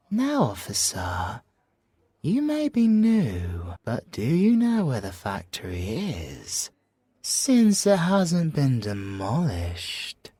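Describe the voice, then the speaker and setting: A very slow, drawn-out British male voice , acted by a man, for a sly siren in an audio roleplay. He is teasing and mock-polite, with menace underneath and theatrical swings in pitch. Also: darkish tone, husky, no echo, exaggerated voice. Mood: sly, feigned innocence. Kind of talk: ASMR audio roleplay acting.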